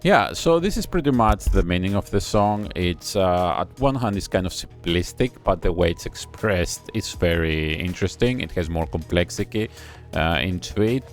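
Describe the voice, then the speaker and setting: A man giving a casual reaction and analysis of a music video. He explains things step by step in an unhurried way, with many pauses. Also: sits low, animated.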